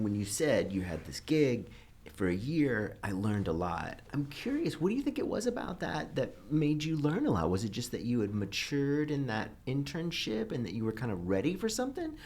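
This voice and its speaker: A man's voice: medium pitch, huge pitch swings, normal speed, a little mellow, slightly rough. A man hosting an interview, asking reflective follow-up questions in a conversational way. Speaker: interviewer, podcast host.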